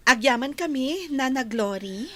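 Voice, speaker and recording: A woman's voice: high-pitched, lively intonation, unhurried, pushed and bright, exaggerated voice. A woman acting as a kindly, appreciative lady of the house in an Ilocano radio drama, with an Ilocano accent. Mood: appreciative, concerned.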